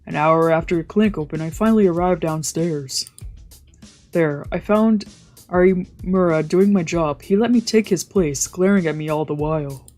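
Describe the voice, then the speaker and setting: A mellow, mid-pitched streamer reads a visual novel out loud over faint music. The delivery is gentle storytelling, broken up by casual, musing asides. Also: normal speed. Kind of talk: let's play read-aloud narration with casual asides. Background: visual novel background music.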